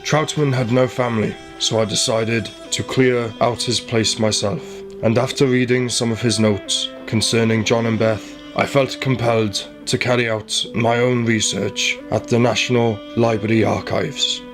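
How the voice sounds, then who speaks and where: A man's voice: medium pitch, fairly even intonation, normal speed, hardly any pauses, close to an everyday voice. An actor playing an earnest male investigator in a radio play, speaking formally about a tragic case. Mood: sombre, factual. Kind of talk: radio play acting and scripted narration. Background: music.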